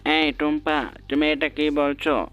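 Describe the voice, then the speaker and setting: A man's voice: on the high side, level tone, measured pace, fairly bright tone, smooth, faint music behind. A man playing a reproachful male animal in a cartoon. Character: reproachful male animal gentleman defending his friend. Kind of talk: cartoon dialogue.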